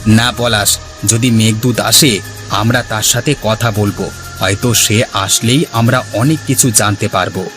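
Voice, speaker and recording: A man's voice: on the low side, fairly even intonation, unhurried, over music, close to an everyday voice. A man voicing a brave, curious village youngster in a cartoon, confident and reassuring. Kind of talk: cartoon character dialogue.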